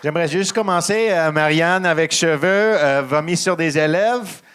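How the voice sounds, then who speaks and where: Young anglophone guy, Quebec accent, deadpan dark stand-up, slightly high voice, hesitant delivery. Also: clean tone. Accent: English-speaking Quebecer speaking French. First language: English. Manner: halting with many ums, deadpan dark jokes. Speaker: stand-up comedian. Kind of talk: stand-up set.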